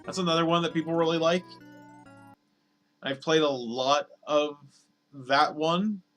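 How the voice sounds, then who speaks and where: A man streaming games, talking casually and rambling with lots of fillers, his voice slightly hoarse. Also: on the high side, unhurried, a bit bright, soft background music. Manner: rambling, filler-heavy, repeats words. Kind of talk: casual live-stream chat over gameplay.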